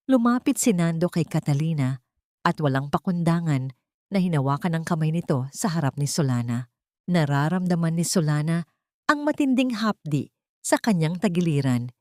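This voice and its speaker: A woman's voice: deep voice, darkish tone, dry recording, clean studio sound. A woman reading a dramatic story as a scripted narrator.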